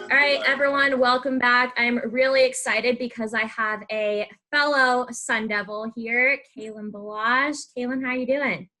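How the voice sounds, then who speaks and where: A woman's voice: on the high side, steady tone, unhurried, hardly any pauses, pushed and bright, rough recording. A young woman hosting a podcast in a casual interview style. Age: young adult. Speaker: podcast host.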